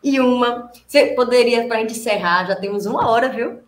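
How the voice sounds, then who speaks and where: Chatty host in an echoey room. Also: female voice, fairly high pitch, fairly quick, crowd noise. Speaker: podcast host. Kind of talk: casual interview chat.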